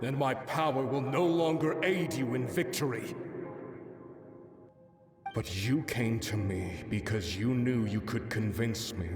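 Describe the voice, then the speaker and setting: A man voicing a grave, battle-hardened warrior with solemn wrath in a dramatic game cutscene. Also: lowish voice. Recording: long echo, music behind.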